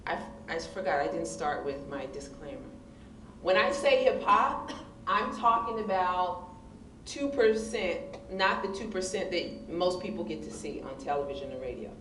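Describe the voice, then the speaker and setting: A woman with a big voice answering on a discussion panel, expressive and emphatic, often laughing. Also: lowish voice, moderate pace. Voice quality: big, loud voice. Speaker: panelist, hip hop poet. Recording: distant mic in echoey room with noise.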